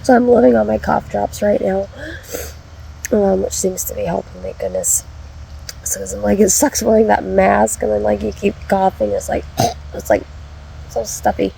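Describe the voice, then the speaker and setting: Woman, congested, drowsy, low mellow tone, casual rambling chat, faint background hiss. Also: steady tone, normal speed, gravelly, poor audio. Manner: chatty, rambling, with frequent ums and restarts. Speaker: vlogger. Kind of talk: casual vlog update.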